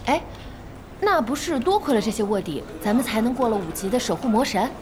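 A spirited young woman in an audio drama. Her high voice swings widely as she teases and banters. Clear music plays behind her. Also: sing-song, a little fast. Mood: amused, teasing. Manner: giggly, bantering. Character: spirited young woman, amused, teasing friend of a gamer. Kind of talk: Chinese audio drama acting.

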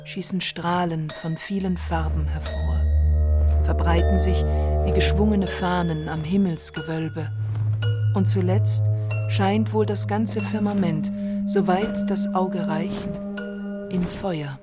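A woman's voice: lowish voice, steady tone, slow. A woman narrating a literary text in a scripted radio play. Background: music.

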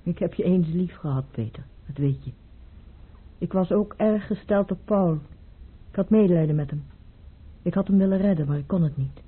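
Radio play baroness: sorrowful and intimate, breathy voice, narrow vintage sound. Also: female voice, fairly low pitch, normal speed. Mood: tender, regretful. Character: sorrowful, tender baroness held prisoner by a former lover. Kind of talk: radio drama acting. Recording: muffled old radio play recording.

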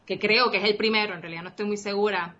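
A woman's voice: mid-range voice, steady tone, fast talker, pushed and bright, lo-fi sound. A woman in her thirties from Costa Rica, living in Puerto Rico, with a Puerto Rican Spanish accent that has Costa Rican traces. She is a guest in a casual interview, rambling with restarts and fillers and mixing in English words. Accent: Puerto Rican Spanish with some Costa Rican traces. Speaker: interview guest, game designer.

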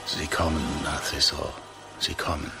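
An actor in a German radio drama playing a wary, gloomy swamp hunter who speaks in terse, brooding lines. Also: male voice, rumbling low, flat delivery, a little slow, creaky, loud music behind the voice, full character voice. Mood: hushed, tense.

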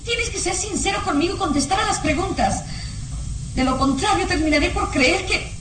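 An actress playing a young, anguished but defiant noble daughter in a melodramatic radio drama, pleading and insistent. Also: female voice, extremely high pitch, normal speed, background noise. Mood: anguished, accusing.

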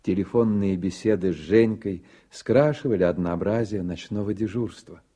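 A man narrating a literary radio drama in a measured, even storytelling manner. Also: lowish voice. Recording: soft music under the voice. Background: music.

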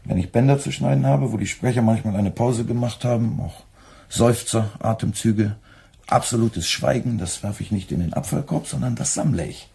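A man's voice: medium pitch, moderate pace, vocal fry, husky. A man playing a weary young radio editor who answers tersely and with dry sarcasm. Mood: tired. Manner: dry, terse, quietly sardonic.

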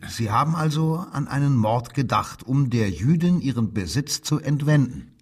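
Interrogator, radio crime drama, husky, medium pitch, stern, clipped, pressing. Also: male voice, expressive, moderate pace, a little mellow. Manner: pressing, clipped, probing questions. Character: insistent, stern male police interrogator.

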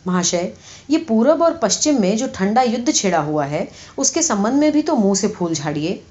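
A woman's voice: medium pitch, sing-song, dark tone, natural voice. A woman voicing a sceptical, sarcastic male British newspaper correspondent in a storybook, wry and slightly sour. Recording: slightly dull, lo-fi audio.

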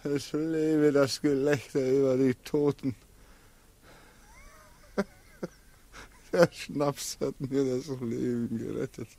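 An actor playing an embittered, anguished young returned soldier in a 1940s German radio drama, declaiming bitterly. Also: male voice, medium pitch, steady tone, slow, creaky, pauses often, warm tone. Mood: drunken, bitterly mocking. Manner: anguished declamation, dragging out words.

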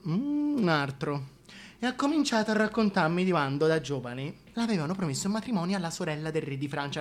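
A gossipy Tuscan single mother played by a man tells her story in a comic radio play. The voice sits fairly high. She sounds like a shameless, scheming opportunist chatting in plain everyday speech. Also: natural voice. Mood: gossipy, mocking. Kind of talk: comic radio theatre monologue.

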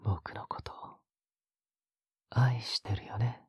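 A man playing an obsessive young captor in an intimate audio drama, coaxing in a husky whisper with sweetly condescending menace. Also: fairly low pitch, fairly even intonation, dry recording, full character voice. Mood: coaxing, possessive. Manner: slow, coaxing, condescendingly gentle.

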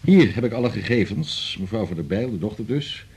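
A busy family doctor in a radio play. He speaks quickly and in a businesslike way, in a somewhat low, husky voice. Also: lively intonation, a little mellow, heavily acted. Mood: brisk, exasperated. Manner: brisk, businesslike. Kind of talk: radio drama acting.

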